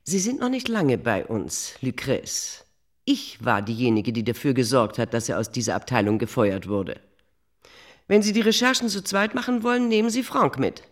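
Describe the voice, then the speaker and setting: A woman's voice: extremely low pitch, expressive, normal speed. An actress playing an imperious, cynical middle-aged female editor-in-chief in a German radio drama, bossy, dismissive and sarcastic. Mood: contemptuous.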